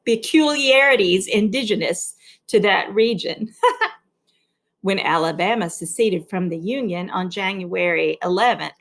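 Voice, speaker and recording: A woman narrating a read-along novel with expressive, deliberate phrasing and an informal, explanatory touch. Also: medium pitch. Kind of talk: reading a novel aloud with informal explanatory asides.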